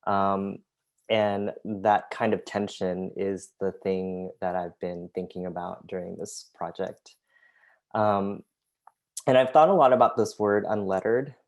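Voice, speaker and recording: Slow, low-voiced hesitant man. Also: steady tone, muffled recording. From United States. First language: Vietnamese. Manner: hesitant, many ums, soft-spoken. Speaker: artist and graphic designer. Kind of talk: presenting artwork in an online talk.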